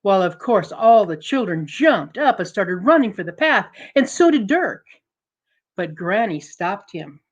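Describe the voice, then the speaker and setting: Slow, deliberate woman storyteller. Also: medium pitch, very animated, muffled recording. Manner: deliberate, suspenseful, emphatic. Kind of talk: dramatic storytelling to an audience.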